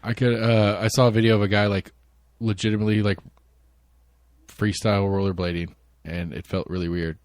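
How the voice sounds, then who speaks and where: A man's voice: on the low side, little pitch movement, fairly quick, dry recording, studio quality. A man co-hosting a podcast in casual chat, laid-back and joking, swearing freely. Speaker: podcast co-host.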